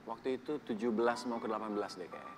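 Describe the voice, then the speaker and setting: A man in his twenties from Bali, a guest answering questions on a talk show in a relaxed, easygoing way. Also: fairly low pitch, fast, music in the background. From Bali, Indonesia. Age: 20s. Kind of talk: casual talk show interview.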